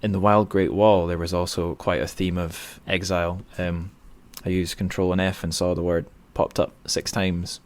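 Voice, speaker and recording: A man's voice: deep voice, little pitch movement, moderate pace, few pauses. A man hosting a podcast interview, asking casual questions in a tentative way and often correcting himself as he goes.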